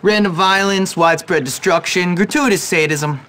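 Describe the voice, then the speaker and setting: An actor playing a cocky, sarcastic teenage schoolboy in a video game, brash and sardonic. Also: male voice, sits high, normal speed, sharp tone. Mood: wry. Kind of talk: video game cutscene acting.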